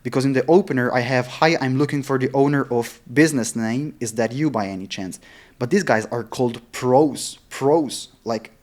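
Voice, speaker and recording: A man's voice: mid-range voice, normal speed. A man who speaks English as a non-native language, with Italian or German as his stronger tongue, talking casually and candidly as a vlogger. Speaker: vlogger practicing sales cold calls. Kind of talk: casual vlog talk.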